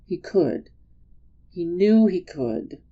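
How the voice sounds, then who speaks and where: A woman's voice: on the low side, big pitch swings, drawn-out words, warm tone, highs cut off. A woman storyteller giving a dramatic reading of a story.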